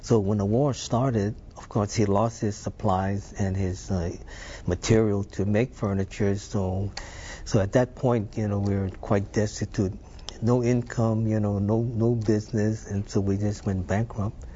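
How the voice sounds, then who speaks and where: An older man raised in Hawaii, telling stories about his past in an unhurried, thoughtful way, with some fillers. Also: fairly low pitch, steady tone, mellow, muffled recording, a little hiss or hum. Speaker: interview guest. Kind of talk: reminiscing interview.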